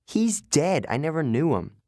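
A man voicing a wary, defensive boy who is new at camp, pitched a little higher. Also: very animated, measured pace, warm tone, no echo, studio quality.

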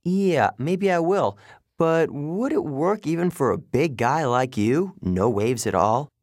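Audiobook boy hero, voiced by a narrator: adolescent, quick-witted, guarded, feigning easy friendliness, close dry recording. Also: medium pitch, expressive, a little mellow, no room sound, voice pushed up. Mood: casually bluffing. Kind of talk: audiobook character voice.

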